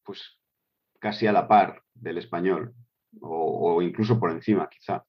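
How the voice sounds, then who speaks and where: A man's voice: low-pitched, steady tone, a little slow, slightly rough. A middle-aged man who grew up in Switzerland answers interview questions casually, hesitating with many fillers and restarts. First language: Spanish with German. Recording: dull lo-fi recording.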